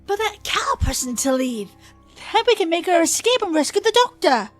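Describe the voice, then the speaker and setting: A woman playing a fiery, headstrong young adventurer in an audio drama, speaking forcefully in formal phrasing. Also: very high-pitched, big pitch swings, normal speed, faint music behind. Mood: impatient.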